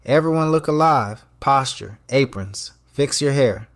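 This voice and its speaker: Audio story restaurant boss, male performer: fussy, commanding, snappy delivery, mid-pitched, very dry close sound. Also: unhurried, no room sound, natural voice. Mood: bossy, exasperated. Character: fussy, bossy male restaurant manager, sharp-tongued. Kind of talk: audio story character dialogue.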